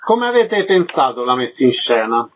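A man's voice: medium pitch, sing-song, normal speed, a little hiss or hum. A man hosting a radio interview, reading announcements and asking short questions. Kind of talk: scripted announcements and brief questions. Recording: narrow phone-like radio sound.

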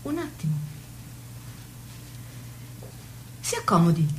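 A woman's voice: on the low side, very animated, quick pace, slight background noise, poor audio. A woman playing a worldly, sardonic married attendant to a noblewoman in a radio drama. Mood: brisk, attentive. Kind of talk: stage-style radio drama acting.

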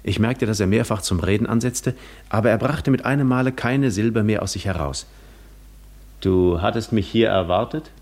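Weary, lonely Greek wanderer, lowish voice, measured literary storytelling for a 1950s radio play. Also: male voice, darkish tone. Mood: quietly astonished, then insistent. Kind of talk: 1950s German radio drama narration.

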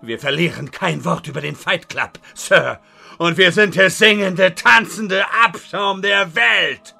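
Guy doing a whiny scratchy newbie wizard, slow, meek, stammery, music behind. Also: high-pitched, gravelly, sharp tone, heavily acted. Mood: cowed, obedient. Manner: stammering, submissive. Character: meek bumbling rookie male wizard, whiny and cowed.

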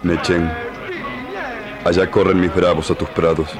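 Low-voiced commanding Pampas chief, declamatory broken Spanish, radio drama over prominent music. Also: male voice, little pitch movement, measured pace. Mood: solemn, mournful.

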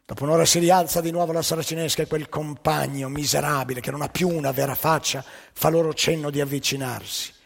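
Man telling stories on stage, gravelly and husky, a bit high, big hall echo, faint music. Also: fairly even intonation, fast, hardly any pauses. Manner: dramatic, varying loud and soft. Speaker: storyteller. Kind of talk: theatrical storytelling. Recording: live stage show with long hall reverb.

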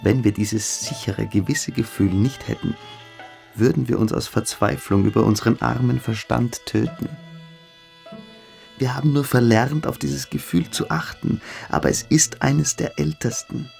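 A man's voice: medium pitch, normal speed, creaky, darkish tone, soft background music. A man in a radio play, playing a fanatical, brooding schoolboy philosopher who lectures with pompous intensity. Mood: fervent lecturing.

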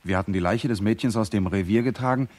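A man's voice: on the low side, fast, a little mellow, no echo, natural voice. A man playing a factual witness reporting events in a radio drama.

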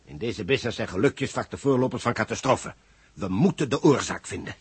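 An actor playing an insistent, exacting chief engineer in 1960s radio drama, with a Netherlands Dutch accent. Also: male voice, mid-range voice, brisk, slightly rough, no room sound. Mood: insistent, troubled. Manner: emphatic, insistent.

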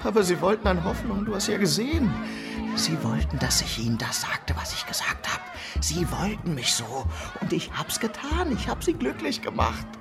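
Ein Schauspieler spielt in einem Hörspiel einen verzweifelten, manischen Scharlatan, der sich als Prophetenkönig sieht und aufgewühlt, sprunghaft spricht. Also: male voice, high-pitched, big pitch swings, normal speed, creaky, over music, heavily acted. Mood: desperate, agitated. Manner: agitated, erratic, swinging between shouts and whispers. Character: desperate, manic would-be prophet king, pleading charlatan. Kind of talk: radio drama acting.

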